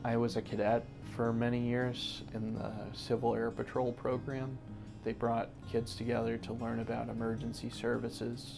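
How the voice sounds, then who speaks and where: Young connecticut guy in an office interview, monotone, gravelly, restarts a lot. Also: medium pitch. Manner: earnest, with many restarts and "uh" fillers. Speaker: interview guest, environmental justice organizer. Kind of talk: sit-down interview. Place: office gallery room.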